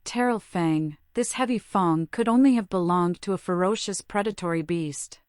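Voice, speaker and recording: A woman giving scripted, reflective game narration in a measured, articulate, musing way. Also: on the low side, dry recording, clean studio sound. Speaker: game player voicing her protagonist. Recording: loud game ambience behind voice.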